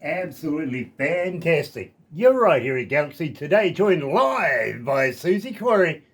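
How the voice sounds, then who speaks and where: A man's voice: a little high, big pitch swings, unhurried. A man with a New Zealand accent, a radio DJ chatting casually and jokingly in a live interview.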